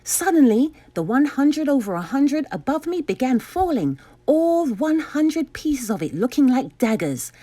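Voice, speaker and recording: A woman reading a children's storybook aloud expressively, enunciating clearly with dramatic emphasis. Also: high voice, sing-song, unhurried, hardly any pauses, mellow. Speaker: storybook reader.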